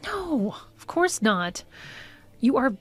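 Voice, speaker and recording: A woman acting in an audio drama as a skeptical, blunt but soft-hearted agent with a matter-of-fact manner. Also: high voice, sing-song, slow pace, slightly rough, heavily acted. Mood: firm, reassuring. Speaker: actor.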